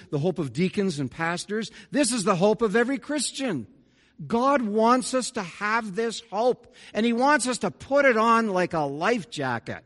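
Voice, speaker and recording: A man's voice: high voice, fairly bright tone. A middle-aged man, a pastor delivering an earnest, conversational sermon with folksy asides. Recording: reverberant church hall.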